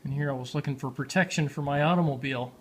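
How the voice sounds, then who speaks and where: A man's voice: mid-range voice, fast, slight background noise. A man talking casually in a dry, sarcastic way on a handheld home recording. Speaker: amateur vlogger. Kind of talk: casual chat to camera. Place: indoors at home in a kitchen. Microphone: handheld camera or phone.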